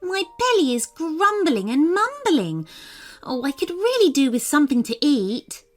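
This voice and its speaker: A woman voicing a hungry unicorn who whines slowly and drags out her words. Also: high-pitched, very animated, faint music behind, pitched above the performer's own voice. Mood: whiny. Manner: slow, drawn-out complaining. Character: hungry young unicorn, whiny.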